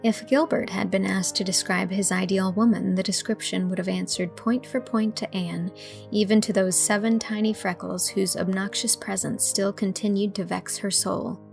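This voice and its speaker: Female storyteller for novel narration. Medium-pitched, slightly gravelly, measured and expressive, over soft music. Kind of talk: scripted audiobook narration with acted dialogue.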